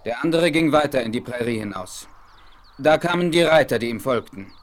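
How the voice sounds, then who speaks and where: An actor playing a noble, observant Apache chief in a 1970s radio play, speaking in a formal, measured, declamatory way. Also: male voice, medium pitch, lively intonation, lightly acted. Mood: solemn, assured. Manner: formal, declamatory, measured, addresses others in third person. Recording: noisy 1970s vinyl radio play rip.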